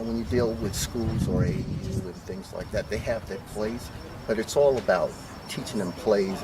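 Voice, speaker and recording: A man with a New York accent, a youth basketball coach giving an earnest, motivational interview. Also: on the low side. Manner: earnest, motivational, explains patiently. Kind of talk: on-location interview. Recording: distant mic, loud street noise.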